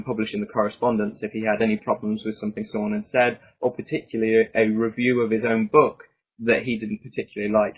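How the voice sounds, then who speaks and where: A man's voice: fairly low pitch, low-quality recording. A man presenting his own book as an author and researcher, talking through slides in a conversational, enthusiastic way with rambling asides.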